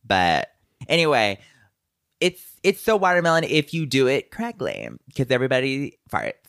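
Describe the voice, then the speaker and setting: A woman's voice: very deep voice, wildly swooping pitch, no echo, studio quality. A woman co-hosting a podcast with her sisters, trading sarcastic comebacks in casual chat. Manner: sarcastic, exasperated, quick comebacks. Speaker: podcast co-host.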